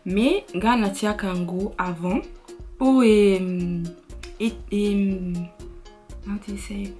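Young female beauty vlogger with an Italian accent, casual and chatty, to camera in a bedroom, soft music under. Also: mid-range voice, slow. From Italy. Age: young adult. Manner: chatty, mixes French and Lingala, frequent fillers. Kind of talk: casual makeup tutorial chat. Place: bedroom, indoors.

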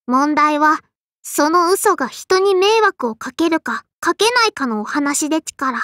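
A woman's voice: high voice, lively intonation, a little slow, fairly bright tone, no room sound, clean studio sound. A woman voicing a small, strict but kindly and dutiful sparrow girl for an anime game, in a childlike lisping voice with formal, cutesy phrasing. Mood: prim, admonishing. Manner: formal yet cutesy, chirping verbal tics. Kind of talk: Japanese anime game character lines.

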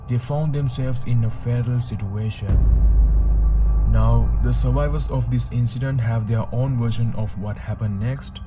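A man narrating a true disaster story as a channel host, in a steady storytelling manner with asides to the viewers. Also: mid-range voice. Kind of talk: scripted documentary narration. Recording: faint music bed under narration.

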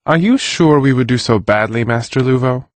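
A patient young man, a mage in a fantasy audiobook, voiced by an actor. His pitch is medium and he explains things informally, in a dry, close recording. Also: moderate pace, dry recording, close to an everyday voice. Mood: patient, frank.

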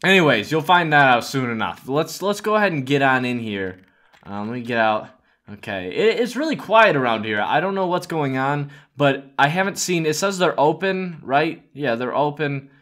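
A man's voice: fairly high pitch, big pitch swings, moderate pace, fairly bright tone. A man in his twenties giving casual, jokey gaming commentary as a host, narrating a roleplay adventure with mock drama. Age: 20s.

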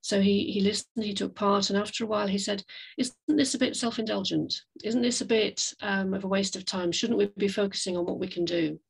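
A woman giving an online slide talk as a guest speaker over a video call, with hesitant, reflective, measured phrasing. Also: mid-range voice, fairly even intonation, gravelly, slightly rough, poor audio. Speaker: guest speaker, climate café facilitator. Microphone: video call microphone.

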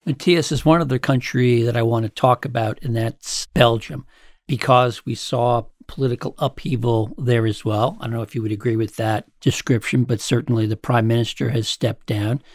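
A male podcast host asks his guests questions in a relaxed, conversational way with a dry touch of humor. His pitch sits mid-range, and the recording is dry and close. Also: moderate pace, no room sound. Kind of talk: interview questions.

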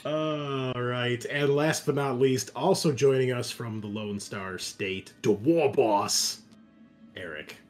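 A man's voice: medium pitch, steady tone, takes it slowly, faint music behind. A man hosting a tabletop game in casual chat, joking and swearing freely as game master.

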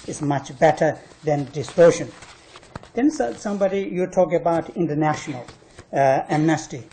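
Male panel speaker, non-native English, argumentative and emphatic, halting phrasing with repeated stressed words. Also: a little high, darkish tone. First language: not English. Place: university panel discussion room.

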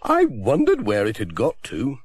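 Brief: man playing a brisk, book-mad village lady, high chirpy lively voice, dry audiobook sound. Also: slightly rough, no echo, full character voice. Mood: cheerful. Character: brisk bookish older village woman. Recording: dry close audiobook recording.

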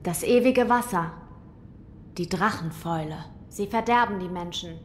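Earnest young noble boy in a reverberant hall, played by a woman. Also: lightly acted. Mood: earnest, grave. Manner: measured, grave, formal. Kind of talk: audio drama acting. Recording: long hall reverb, faint rumble.

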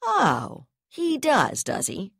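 A seething female brigadier , as a woman reads her, barks and sneers in a harsh, hard-edged voice. She swears freely and pushes her voice up loud and high with rage. The audiobook recording is dry and close. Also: sing-song, unhurried, husky, no room sound, heavily acted. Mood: furious. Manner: shouting, snarling, swearing.

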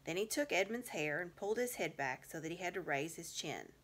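A woman in middle age reads a children's story aloud in a small room at home. Her voice is a little low and has a bright, clear edge. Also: expressive, brisk. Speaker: reader.

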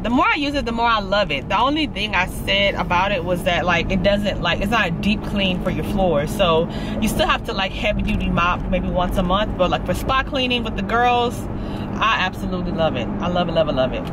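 A woman's voice: mid-range voice, very noisy. An American woman in her thirties chatting casually and familiarly to her viewers as a lifestyle vlogger. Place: car, then a large store.

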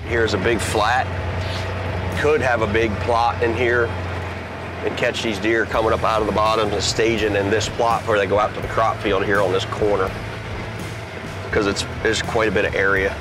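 Man, lowish pitch, informal guide pointing out terrain, distinct music bed. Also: little pitch movement, normal speed. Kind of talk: casual running commentary on terrain. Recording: clear music bed behind the voice.

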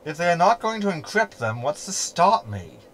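Snarky hologram sidekick , male actor, sci-fi audio comedy. Verbose, glib, sardonic; higher-set voice with lots of swing. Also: sing-song, normal speed, fairly bright tone, a little hiss or hum. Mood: smug. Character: snarky, meddling male hologram ship companion. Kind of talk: sci-fi sitcom audio drama acting.

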